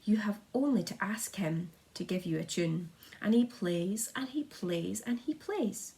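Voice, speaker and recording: A woman's voice: mid-range voice, lively intonation, a little slow, gravelly, a little mellow. A woman voicing an eager, polite young boy who proudly presents friends in sing-song storybook rhyme. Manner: sing-song rhyming delivery, showman-like.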